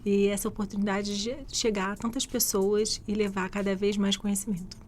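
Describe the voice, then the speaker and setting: A woman with a Carioca Brazilian accent speaks as a medical guest in an interview. Her voice sits at a middle pitch and her delivery is conversational and explanatory. Faint ambient noise sits behind her. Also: level tone, brisk, darkish tone. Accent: Carioca Brazilian Portuguese. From Rio de Janeiro, Brazil. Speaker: guest infectious disease doctor. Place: live radio podcast interview.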